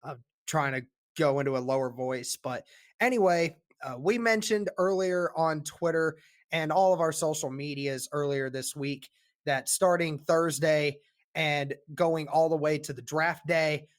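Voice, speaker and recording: A man co-hosting a casual sports podcast, talking with a sore, strained throat and a relaxed, rambling delivery full of fillers. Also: fairly high pitch, a little slow. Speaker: podcast co-host.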